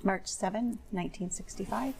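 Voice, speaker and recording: A woman's voice: mid-range voice, moderate pace, gravelly, a little mellow, natural voice. A woman playing a middle-aged plaintiff giving brief, matter-of-fact testimony in a legal training drama.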